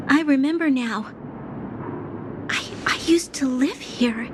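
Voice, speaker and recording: A woman's voice: high voice, noisy background. A woman playing an earnest, dutiful young noblewoman in a game cutscene, speaking in a proper, formal and serious manner. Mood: shaken. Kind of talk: English-dubbed game cutscene acting.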